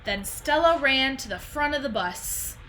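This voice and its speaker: A young woman reading a children's picture book aloud in an expressive, deliberate storytelling style, recorded at home on a computer microphone. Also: high-pitched, background noise. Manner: animated, deliberate phrasing.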